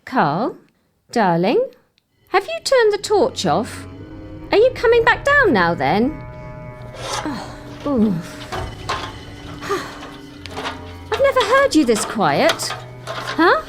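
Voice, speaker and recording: A woman's voice: sits high, huge pitch swings, extremely slow, long pauses, over music, heavily acted. A woman playing a teasing middle-aged mother in an audio drama, with a dry, sarcastic manner. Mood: puzzled, uneasy.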